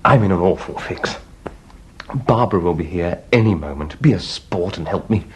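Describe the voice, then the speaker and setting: An actor playing an anxious, flustered young English husband in a farce, well-bred, exclamatory and pleading. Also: male voice, deep voice, animated, normal speed, a little hiss or hum. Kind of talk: vintage stage farce acting.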